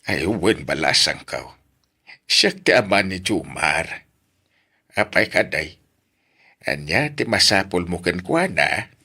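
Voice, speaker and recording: A man playing an older, hospitable, talkative village father with a husky voice and an Ilocano accent in an audio drama. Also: low-pitched, expressive, slow pace, pauses often, sharp tone, heavily acted. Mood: chatty, hospitable.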